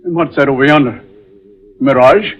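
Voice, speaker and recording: An actor playing an excitable middle-aged learned father in 1940s radio horror, with formal, exclamatory, old-fashioned phrasing. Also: mid-range voice, normal speed, close to an everyday voice. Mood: amazed. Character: excitable learned father, awed by strange sea wonders. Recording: muffled vintage 1940s radio recording.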